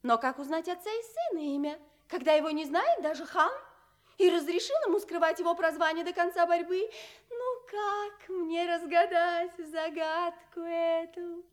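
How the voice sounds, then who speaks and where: Proud young princess, very high pitch, imperious, capricious, agitated, theatrical radio play. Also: female voice, normal speed. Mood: fretful, baffled. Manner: capricious, emphatic, insistently repeating, agitated. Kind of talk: theatrical fairy-tale radio play acting.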